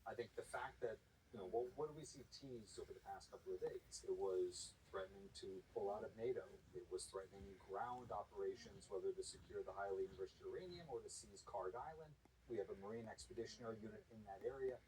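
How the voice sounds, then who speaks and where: A man's voice: fairly low pitch, a little fast, a little mellow, recorded from a distance, a little hiss or hum, low-quality recording. A man giving thoughtful, slightly hesitant analysis as a foreign affairs commentator on a news panel.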